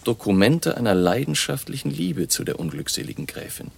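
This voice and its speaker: An actor playing a grave, learned baron who researches vampires in a radio drama, with a gravelly voice and a measured, ominous manner. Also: male voice, mid-range voice, big pitch swings, heavily acted. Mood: grave, narrating.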